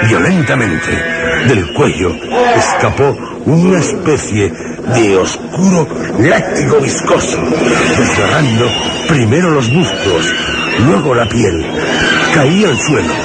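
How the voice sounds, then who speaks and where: An actor playing a horrified man who narrates his own terror in a grave, theatrical radio drama style. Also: mid-range voice. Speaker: first-person narrator.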